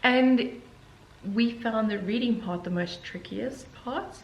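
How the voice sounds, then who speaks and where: A woman with a South African accent tells her story in a relaxed, chatty way, often breaking into laughter. She is recorded in an echoey room with a faint hiss underneath. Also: on the high side, takes it slowly, muffled recording. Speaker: interview guest. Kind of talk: casual interview.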